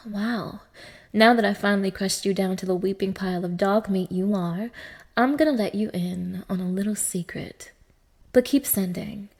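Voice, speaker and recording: A woman's voice: mid-range voice, a little mellow, natural voice. A woman playing a cruel, scornful dominatrix in an intimate ASMR-style audio roleplay, talking down to her listener with open contempt. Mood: cold, mocking. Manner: sneering, scornful, swearing, swinging from breathy murmurs to raised snaps. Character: cruel, contemptuous young dominatrix belittling a submissive man.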